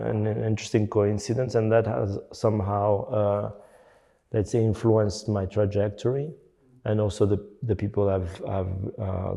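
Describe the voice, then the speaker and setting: A middle-aged man from Belgium talks through his answers slowly, with many fillers and restarts. His voice sits low and has a dark, mellow tone. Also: vocal fry. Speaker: architect and teacher. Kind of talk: reflective interview answer.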